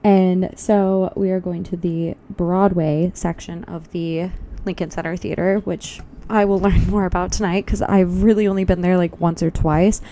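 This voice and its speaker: A woman's voice: fairly low pitch, fairly even intonation, normal speed, mellow, slight background noise. A young woman vlogging in a casual, upbeat, chatty way, thinking aloud, her voice a little tired. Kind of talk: casual vlog chat. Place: walking outdoors on a loud city street.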